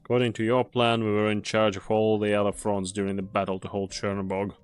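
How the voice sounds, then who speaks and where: A man playing a weary, fatalistic mercenary in an anime game fan dub, speaking gravely and deliberately. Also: lowish voice, completely flat delivery, no room sound, soft background music, close to an everyday voice. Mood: probing, suspicious.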